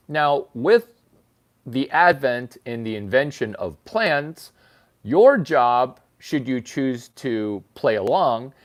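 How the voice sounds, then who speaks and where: A man hosting his own channel, rambling through a crude comedy monologue in a mock-lecture manner with sudden jumps in pitch for emphasis. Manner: rambling, deadpan lecture-style bathroom humor. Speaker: channel host.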